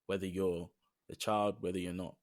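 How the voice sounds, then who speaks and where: A man's voice: low-pitched, flat delivery, moderate pace. A young British man co-hosting a podcast, talking in an earnest, reflective way with long trailing phrases. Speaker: podcast co-host. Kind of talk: casual podcast chat.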